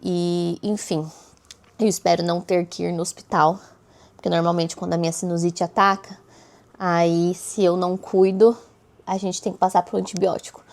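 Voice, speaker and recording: A hoarse woman vlogging in a casual chat, talking straight to her viewers and often tagging her sentences with little questions. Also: lowish voice, flat delivery, moderate pace, a little mellow. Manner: chatty, addresses viewers directly with frequent tag questions.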